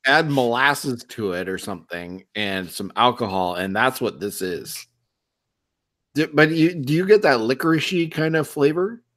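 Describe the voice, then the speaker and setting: A man's voice: mid-range voice, animated, moderate pace, a bit bright. A man with a cold and a stuffed-up nose, co-hosting a beer review podcast in casual chat, earnest and thinking aloud.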